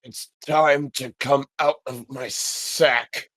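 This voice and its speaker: Unhurried man chatting casually. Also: mid-range voice, steady tone, a bit bright, no room sound. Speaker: podcast commentator. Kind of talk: casual chat.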